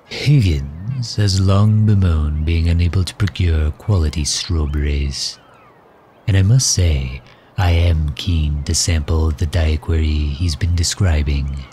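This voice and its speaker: Casting: suave, protective male villain for ASMR roleplay played by a man, very low voice, courtly and gentle, outdoor bird ambience behind. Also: a little hiss or hum. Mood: proud, explanatory. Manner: gentle, courtly, measured and formal. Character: suave, protective male villain doting on his captive guest.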